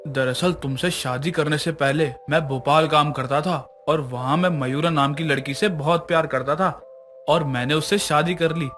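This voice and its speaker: A man's voice: a little high, moderate pace, a bit bright, a little hiss or hum, natural voice. A man acting a brusque, guilt-ridden middle-aged husband and father in a narrated moral story. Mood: remorseful, confessing.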